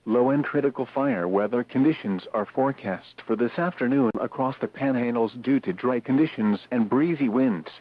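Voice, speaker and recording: A synthetic man's voice reading a scripted weather bulletin as an automated radio announcer, formal, measured and impersonal. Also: medium pitch. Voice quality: synthetic text-to-speech voice. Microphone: radio broadcast, dry sound. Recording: tinny narrowband weather radio broadcast.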